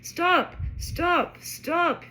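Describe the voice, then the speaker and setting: A woman as an excitable, high-pitched panicky schoolboy. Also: unhurried, slight background noise. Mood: panicked. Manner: excitable, exclaiming in short bursts. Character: panicky, cajoling schoolboy wizard at the wheel of a car.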